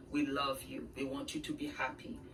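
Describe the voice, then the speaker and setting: A woman's voice: a bass voice, huge pitch swings, a little slow, vocal fry. A woman giving earnest, conversational relationship advice, played in the background of another video. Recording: faint distant voice played in background with noise.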